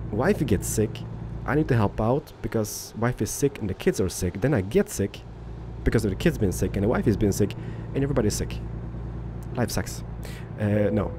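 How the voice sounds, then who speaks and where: Man, 30s, low voice, lively pitch, rambling gameplay chat. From Poland, raised in Sweden. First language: Swedish. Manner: rambling, loose, many fillers and restarts. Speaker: gaming YouTuber. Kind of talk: casual gameplay commentary with viewer Q&A. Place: home office. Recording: game engine noise under voice.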